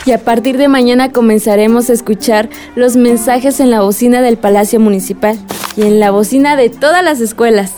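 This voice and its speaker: Radio serial activist played by a woman: young, earnest, very high but mellow voice. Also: steady tone, brisk, faint music behind, natural voice. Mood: earnest, concerned. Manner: earnest, reporting. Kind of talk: radio drama acting.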